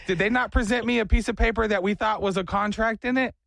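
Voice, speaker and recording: A man's voice: sits high, steady tone, fast, vocal fry, fairly bright tone, no echo, studio quality. A man hosting a morning radio show, joking and self-mocking in casual banter.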